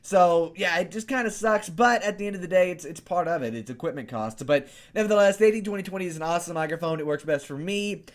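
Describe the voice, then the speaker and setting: A young American man with a voice that is not deep or bassy, talking casually and in a rambling way as he hosts a video review. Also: fast talker, few pauses. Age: young adult. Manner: rambling, self-questioning, informal. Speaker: YouTube host reviewing microphones. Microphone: desktop condenser studio microphone, close up.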